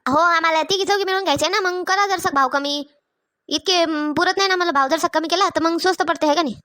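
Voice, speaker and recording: Woman voicing an impatient, rapid-fire cartoon village housewife. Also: extremely high pitch, sharp tone. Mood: haggling. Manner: complaining, colloquial. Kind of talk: Marathi comedy cartoon dialogue.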